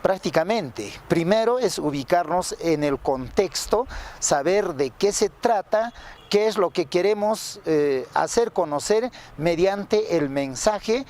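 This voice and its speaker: A man with a Peruvian Spanish accent explaining carefully and didactically in an interview as a translator, with pauses. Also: high voice, expressive, a little mellow.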